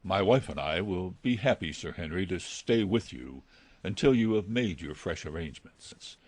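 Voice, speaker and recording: A man's voice: sits low, expressive, moderate pace, a little mellow, no echo. A man playing a formal, subdued butler of a gloomy old mansion in an audiobook, deferential in manner. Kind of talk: audiobook character acting.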